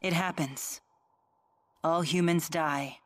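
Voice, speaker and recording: Composed young game heroine, low female voice, blunt and formal, dry sound. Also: steady tone, slow, no echo. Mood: blunt, calm. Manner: matter-of-fact, formal. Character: composed, blunt young woman, otherworldly and resolute. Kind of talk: English game voice acting.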